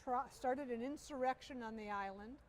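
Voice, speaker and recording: A middle-aged woman telling stories with animated enthusiasm as a guest in an interview, recorded at an outdoor air show. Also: on the high side, normal speed, slight background noise. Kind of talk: storytelling interview.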